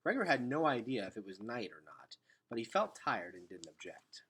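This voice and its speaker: Male read-aloud narrator for children's fiction: bright, animated, slightly raspy, expressive. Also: lowish voice, normal speed, a little hiss or hum.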